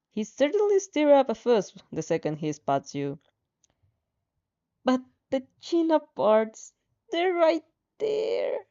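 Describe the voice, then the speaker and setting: Casting: female streamer, mellow and leisurely, stumbles and sighs through casual chat and story reading. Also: medium pitch, dull audio. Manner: halting, stumbling over words, sighing and chuckling. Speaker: streamer reading fan fiction aloud. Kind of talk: casual livestream chat and expressive read-aloud with asides.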